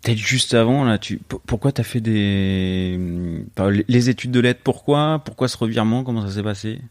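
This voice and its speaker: A man's voice: on the low side, normal speed, dry recording. A middle-aged man hosting a podcast, asking questions casually with hesitations and repeated words. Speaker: podcast host.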